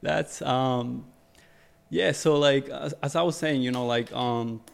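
A young man from Ecuador speaks as a podcast guest in a relaxed, easygoing way. His voice sits at an ordinary mid pitch, and he fills his sentences with "like" and "you know" and restarts often. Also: slow pace, vocal fry, smooth. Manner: relaxed, many fillers and repeats. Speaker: guest photographer. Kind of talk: casual podcast interview.

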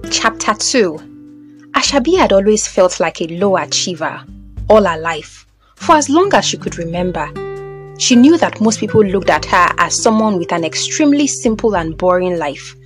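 A woman narrates an audio drama in a Nigerian accent. Her medium-pitched voice is very expressive, moving up and down through the scripted story, with music underneath. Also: moderate pace.

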